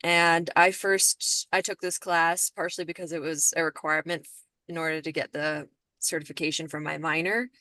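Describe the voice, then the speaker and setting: Young woman, student podcast co-host; lowish voice, casual talk, dry close recording. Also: pushed and bright, dry recording. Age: young adult. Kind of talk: casual introduction and chat.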